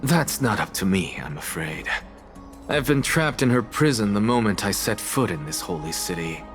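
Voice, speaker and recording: An actor playing a weary, sardonic professor with a dry, resigned manner in a video game. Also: male voice, lowish voice, expressive, normal speed, faint music behind. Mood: wry resignation.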